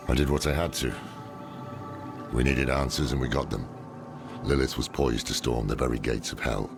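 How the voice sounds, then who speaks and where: An actor playing a stern, gruff older male scholar in a game cutscene, with a gravelly voice. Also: very deep voice. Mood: reflective. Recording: loud game score behind.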